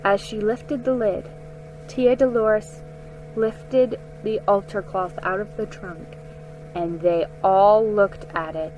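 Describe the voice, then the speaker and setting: A woman giving a dramatic, expressive reading of a children's story, with a slightly halting delivery. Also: mid-range voice, lo-fi sound. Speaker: amateur story reader. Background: music under the reading.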